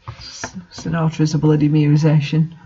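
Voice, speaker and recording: An amateur reader delivers fan fiction and casual commentary on it. The manner is incredulous and sarcastic, with some swearing. The voice sits at an in-between pitch, on a dull, lo-fi recording. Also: completely flat delivery, normal speed, dark tone, husky.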